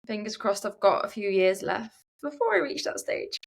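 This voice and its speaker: A woman's voice: mid-range voice, sing-song, moderate pace, creaky. A woman talking as a podcast guest, hesitant and giggly in casual chat.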